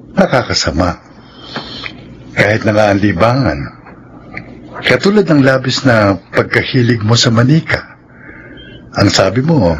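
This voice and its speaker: An actor playing a measured, reassuring doctor with a Filipino accent, explaining things in a radio drama. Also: male voice, on the low side, slight background noise.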